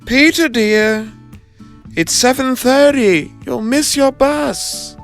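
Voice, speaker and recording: A man's voice: very high voice, slow pace, a bit bright, faint music behind, voice pushed up, heavily acted. A man voicing a fussy, affectionate older aunt in an audio drama, with a doting, sing-song manner. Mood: fussing, caring.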